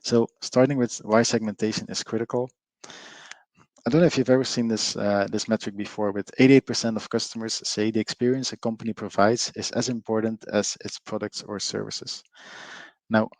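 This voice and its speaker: A man's voice: fairly low pitch, fairly even intonation, brisk, a little mellow, dry recording. A middle-aged man from Belgium with a Flemish Belgian accent, giving a live online presentation in a chatty way with frequent fillers, often drawing in his audience. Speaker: webinar presenter, company co-founder.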